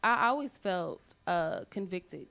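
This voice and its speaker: A woman's voice: fairly low pitch, very animated, slow, vocal fry, a bit bright. A woman in a casual group discussion, speaking earnestly from her own beliefs. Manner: earnest, speaks from personal conviction.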